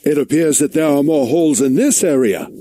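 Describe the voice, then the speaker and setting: A man's voice: on the high side, a little fast, husky, no room sound. A man playing a resolute, earnest soldier who leads his troops in a video game, commanding and declarative. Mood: concerned. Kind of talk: video game cutscene acting. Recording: loud background music.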